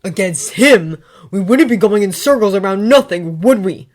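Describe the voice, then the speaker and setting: A woman's voice: medium pitch, lively intonation, moderate pace, a little mellow, natural voice. A woman voicing a weary, guarded teenage wizard who talks in a tense, clipped way.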